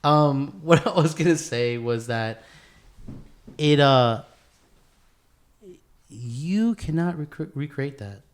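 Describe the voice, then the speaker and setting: A man's voice: a little high, animated, slow pace, long pauses, a little hiss or hum. A young man, a podcast host, chatting casually with friends in a boisterous, slangy way with plenty of swearing. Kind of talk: casual chat between friends.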